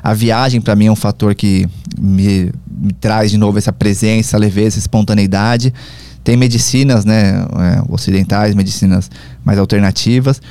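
A man from Brazil, a podcast guest, talking in a reflective, halting way with many fillers and restarts. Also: lowish voice, fairly even intonation, mellow, clean tone, no echo. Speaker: podcast guest, travel platform founder. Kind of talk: casual conversational interview.

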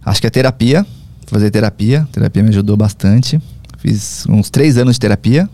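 A man from Brazil, a podcast guest, talking in a reflective, halting way with many fillers and restarts. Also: on the low side, warm tone, no echo. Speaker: podcast guest, travel platform founder. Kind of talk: casual conversational interview.

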